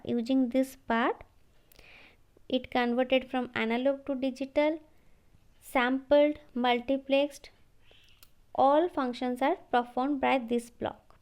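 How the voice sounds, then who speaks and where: A woman giving an explanatory slide lecture, halting and repeating phrases as she goes. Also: sits high. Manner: halting, repeats phrases, often says okay.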